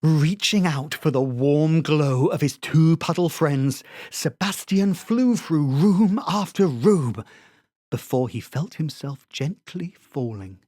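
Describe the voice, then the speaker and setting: A bedtime storyteller reading softly and soothingly, in a hushed voice. The reading is slow and lilting, with a breathy tone. The sound is dry and close. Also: medium pitch, no echo.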